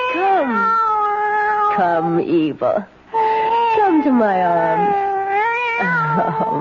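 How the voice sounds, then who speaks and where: An actress playing a sly, coolly insolent woman drifter in a radio mystery, languid and softly taunting. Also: mid-range voice, heavily acted. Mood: crooning, scheming. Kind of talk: 1970s radio mystery drama acting. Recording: muffled, noisy 1970s radio broadcast.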